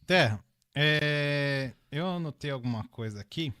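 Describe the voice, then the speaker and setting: A man with a Brazilian accent interviewing a guest casually, in an informal, halting way, rephrasing as he goes. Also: fairly high pitch, expressive, bright, forceful tone, smooth, dry recording. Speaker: podcast interviewer.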